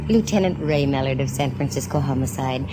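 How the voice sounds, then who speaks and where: An actress playing a breezy, wisecracking woman private eye in a 1940s radio detective drama, flirty and quick with wry quips. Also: a bass voice, over music, low-quality recording. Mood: wry, reflective. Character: wisecracking, flirty female private eye narrating her case. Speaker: lead actress. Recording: vintage 1940s radio recording.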